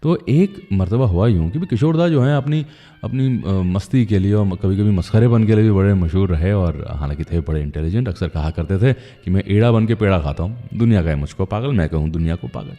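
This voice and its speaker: A man's voice: sits low, a little fast, long reverb tail, dull audio. A man hosting a music radio show, telling anecdotes in a conversational, chatty way.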